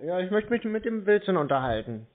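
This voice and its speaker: A man's voice: sits high, sing-song, brisk. A man chatting casually on the telephone as a prank caller, unflappable and dogged, with an air of feigned innocence. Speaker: prank caller to scam call centres. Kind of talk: casual phone chat. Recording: narrow-band phone line.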